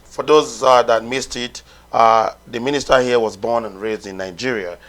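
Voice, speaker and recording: A man's voice: medium pitch, steady tone, moderate pace, fairly bright tone. A man, hosting a conversational interview in an informal, admiring way. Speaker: interview host. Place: TV studio, seated.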